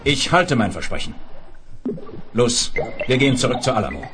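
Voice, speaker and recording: Ein Schauspieler spricht in einem Science-Fiction-Hörspiel einen entschlossenen Raumschiffkommandanten, dramatisch und mit Autorität. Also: male voice, mid-range voice, big pitch swings, moderate pace, over music, lightly acted. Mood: firm, commanding. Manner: dramatic, authoritative, clipped statements. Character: resolute male space commander and imperial administrator. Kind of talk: 1970s German science fiction radio drama acting.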